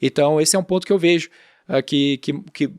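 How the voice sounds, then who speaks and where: A Brazilian man hosting a podcast, talking in a relaxed, slangy way and explaining things through everyday examples. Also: on the high side, fairly quick, smooth, dry recording, studio quality. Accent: Brazilian Portuguese. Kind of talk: casual podcast talk.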